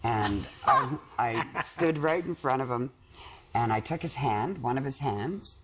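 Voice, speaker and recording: A woman with a gravelly voice, candidly recounting as a client and emphatically quoting conversations. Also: a bass voice, expressive, a little slow, very noisy. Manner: emphatic, quotes conversations as she tells them. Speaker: therapy client, wife recounting an argument.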